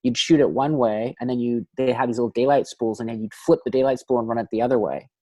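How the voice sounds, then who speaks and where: A man's voice: medium pitch, steady tone, fast, dark tone, no room sound. A man from Canada talks as a guest in a casual interview, telling stories about his start in film. He often thinks aloud and circles back with fillers. The sound is dry and close, with no room around him. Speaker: film director and cinematographer, guest.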